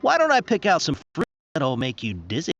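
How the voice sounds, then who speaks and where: A cagey warehouse worker in an anime-style game, courteous and apologetic. His pitch swings very widely, with clear music behind a slightly muffled recording. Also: huge pitch swings, fairly quick, fairly bright tone, heavily acted. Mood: slyly courteous. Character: polite, cagey male bazaar warehouse worker.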